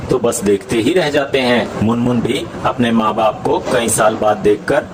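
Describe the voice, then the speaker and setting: A man's voice: mid-range voice, normal speed, noisy background. A man narrating a scripted children's animal story. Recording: loud music behind the voice.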